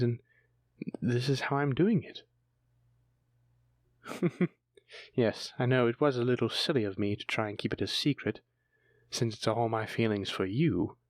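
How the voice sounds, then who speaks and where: A man's voice: mid-range voice, no echo, studio quality, natural voice. Flustered, tender man acting as a bashful dragon boyfriend. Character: bashful, doting male dragon lover, bookish and flustered. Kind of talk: romantic fantasy audio roleplay, addressing the listener.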